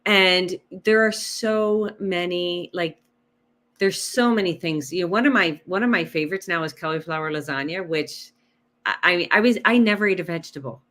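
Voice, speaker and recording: A woman's voice: on the low side, moderate pace. A woman health coach, a guest on a podcast, talking casually and explaining nutrition with numbers, often checking in with "right?". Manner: animated, explains with numbers and rhetorical questions. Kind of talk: casual interview chat.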